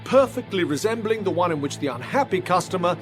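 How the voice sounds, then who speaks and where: Man as high-pitched, commanding gentleman detective. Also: animated, brisk, over music. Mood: intrigued, dramatic. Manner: authoritative, dramatic. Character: commanding gentleman magistrate detective, assured and precise. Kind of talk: period audio drama acting.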